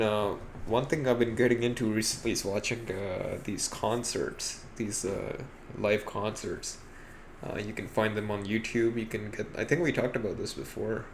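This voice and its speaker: A man co-hosting a podcast in casual chat, low-key and a little hesitant as he talks. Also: fairly even intonation, a little slow, slight background noise. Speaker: podcast co-host.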